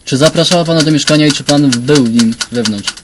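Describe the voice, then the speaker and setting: An actor playing a methodical police commissioner questioning people in a measured, pressing way in a radio drama. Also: male voice, fairly high pitch, noisy background, natural voice. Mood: probing, stern. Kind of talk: crime radio drama acting.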